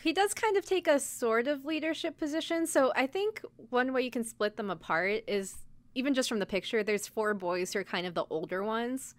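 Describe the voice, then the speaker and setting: A woman's voice: fairly high pitch, moderate pace, no echo. Giggly woman podcast co-host, dry close sound. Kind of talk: casual chat about idol anime.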